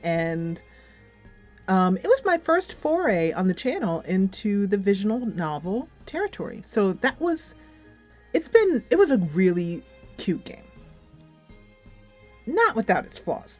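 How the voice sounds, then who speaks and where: Woman chattily reviewing over music. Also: medium pitch. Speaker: game reviewer and channel host. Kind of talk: casual spoken video game review.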